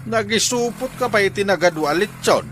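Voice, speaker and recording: A man's voice: sits high, normal speed, a bit bright, a little hiss or hum. A man with an Ilocano accent playing a husband who lectures his wife in a radio drama. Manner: scolding, lecturing.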